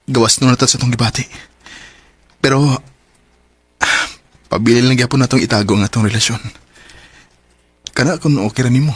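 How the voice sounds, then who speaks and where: A man's voice: medium pitch, fairly even intonation, brisk, pauses often, a bit bright, husky, no echo. Nervous, stammering young Cebuano guy. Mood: earnest, tentative. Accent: Filipino, Cebuano-speaking. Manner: hesitant, stammering. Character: nervous, stammering young man hiding his love. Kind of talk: radio drama acting. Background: background music.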